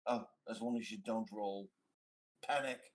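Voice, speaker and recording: A man chatting casually as a player in a tabletop game, halting and jokey. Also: lowish voice, steady tone, poor audio.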